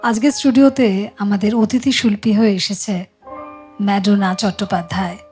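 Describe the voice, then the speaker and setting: Woman, music show host, casual studio chat, medium pitch, faint music. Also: steady tone, moderate pace, a little mellow.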